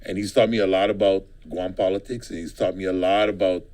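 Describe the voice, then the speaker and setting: Man from hawaii, low-pitched, middle-aged, rambling candidate, studio interview. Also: steady tone, fairly quick, darkish tone. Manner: rambling with frequent ums and restarts. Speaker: political candidate. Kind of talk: casual interview talk.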